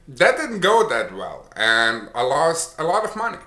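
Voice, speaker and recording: Bright-voiced Austrian man telling his story. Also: a little high, wildly swooping pitch, measured pace, reverberant. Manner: chatty, repeats words and restarts with many uh's. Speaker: online marketer. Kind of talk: casual personal story to camera.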